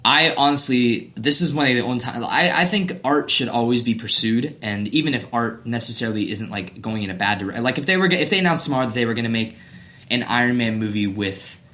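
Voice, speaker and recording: A man's voice: mid-range voice, a little fast, bright, forceful tone. A man hosting a podcast, chatting casually and emphatically about pop culture with strong opinions. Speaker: podcast host.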